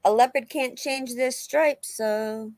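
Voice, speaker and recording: A woman's voice: sits high, slow, darkish tone, dry recording. A woman reading the part of a casual, agreeable investigator in a police interview.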